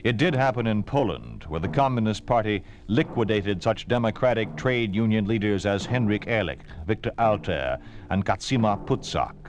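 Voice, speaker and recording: A man's voice: fairly low pitch, lively intonation, normal speed. An American man announcing from a script in formal, solemn, emphatic 1950s radio style. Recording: hissy, noisy 1950s radio recording.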